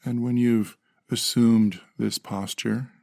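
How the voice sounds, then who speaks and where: A man calmly leading a guided meditation in measured phrases with long pauses. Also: medium pitch, creaky, no room sound, studio quality.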